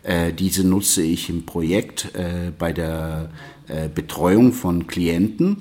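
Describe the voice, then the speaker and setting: A man from Peru with a very deep voice introduces himself at an unhurried pace. His first languages are English and Spanish. He speaks as an integration guide, pausing often to find his words. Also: mellow. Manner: slow, measured, with frequent hesitations. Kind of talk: personal self-introduction.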